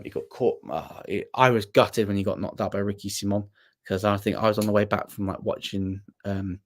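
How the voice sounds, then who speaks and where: A young man hosting a casual livestream, rambling and self-deprecating as he answers viewers with plenty of ums and restarts. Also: fairly low pitch. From Wales. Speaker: livestream host.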